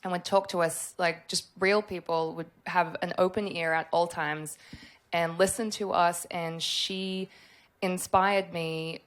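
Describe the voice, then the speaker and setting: A woman from Australia whose first language was Polish, speaking on a convention panel in a thoughtful, halting way with many fillers. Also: on the low side, flat delivery. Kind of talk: casual panel talk.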